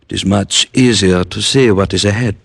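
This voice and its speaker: An actor playing a careful, philosophical police inspector in an old radio drama, speaking formally and deliberately. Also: male voice, lowish voice, creaky, husky, dry recording, studio quality. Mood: cautioning, guarded. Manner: formal, deliberate, veiled warnings.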